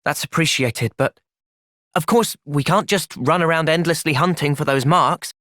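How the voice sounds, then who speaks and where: A man voicing an earnest, thoughtful young fighter in a video game, measured and sincere but capable of impassioned intensity. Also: a little high, fairly bright tone, dry recording, studio quality, close to an everyday voice. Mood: thoughtful, steady. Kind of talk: video game cutscene voice acting.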